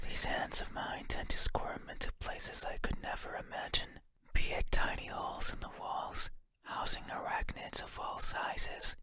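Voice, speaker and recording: An actor playing a creepy, sorrowful giant creature in a horror game, whispering slowly and intimately in a breathy, musing way. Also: male voice, natural voice. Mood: eerie, musing. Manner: slow, intimate, deliberate, musing.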